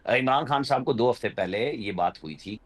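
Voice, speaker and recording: A man's voice: medium pitch, expressive, moderate pace. Pakistani male analyst with swooping intonation. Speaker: journalist, political analyst guest. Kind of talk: unscripted political commentary.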